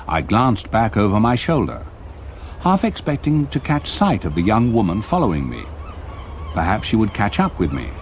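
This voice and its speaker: An actor playing an older English solicitor who narrates a ghost story in a formal, deliberate, literary manner. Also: male voice, fairly low pitch. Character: older English solicitor recalling a haunting, measured and brooding. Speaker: narrator in a radio drama. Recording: faint background ambience noise.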